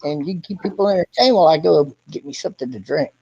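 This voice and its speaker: A man's voice: fairly high pitch, fast talker, vocal fry, darkish tone, breathy edge. Man rambling on a lo-fi livestream. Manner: rambling, explains step by step. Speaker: livestream host. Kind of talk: casual livestream chat. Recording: dull lo-fi stream audio.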